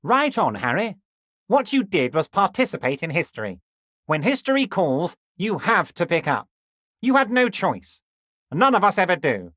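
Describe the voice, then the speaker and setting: An actor playing a scheming, jovial middle-aged union boss in a video game, folksy, flattering and sly. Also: male voice, high-pitched, moderate pace, dry recording, studio quality, heavily acted.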